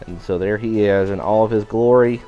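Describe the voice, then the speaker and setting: A man giving an upbeat walking tour of a cruise ship, his voice a little raspy today. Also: fairly low pitch, steady tone, brisk, lo-fi sound, soft background music. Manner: upbeat, enthusiastic guiding. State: slightly raspy voice. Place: indoor public areas of a cruise ship.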